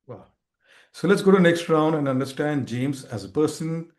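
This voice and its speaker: A man's voice: fairly high pitch, expressive, moderate pace, a little mellow. A man hosting an interview who asks short, formal questions to move the talk along. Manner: brief, formal prompts. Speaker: interview host. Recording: echoey room.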